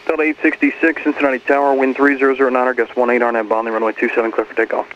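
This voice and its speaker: A man working as an air traffic controller, issuing clearances over an aviation radio. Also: medium pitch, flat delivery, rapid-fire. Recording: muffled, noisy air traffic radio transmission.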